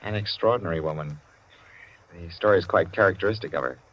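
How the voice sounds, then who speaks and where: An actor playing a measured, musing club gentleman in a 1940s radio drama, heard on a dull old broadcast. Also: deep voice.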